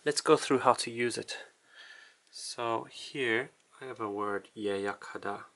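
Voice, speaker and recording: A man casually walking through a writing tutorial, explaining in a halting, self-deprecating way as he writes. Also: on the low side, creaky, fairly bright tone. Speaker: language tutorial host.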